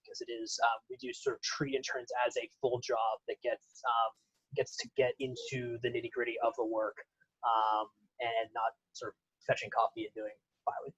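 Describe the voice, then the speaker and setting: Husky man on a dull video call. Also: mid-range voice, moderate pace, no room sound. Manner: relaxed, hedging with fillers. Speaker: guest speaker from a development firm. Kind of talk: casual Q&A answer.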